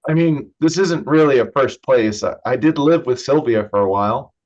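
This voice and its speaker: A man's voice: mid-range voice, moderate pace, a little mellow, lightly acted. An actor playing a polite, modest, guarded young man in a virtual staged reading. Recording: dull, lo-fi online call audio.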